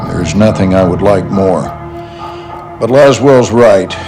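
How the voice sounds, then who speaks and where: An actor playing a gruff, impatient American army general who speaks bluntly and demandingly in a cinematic game. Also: male voice, low voice, slow, loud music behind the voice. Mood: stern.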